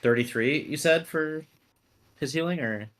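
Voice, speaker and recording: A man's voice: a little high, measured pace, fairly bright tone. A man chatting casually as a player in an online tabletop roleplay game.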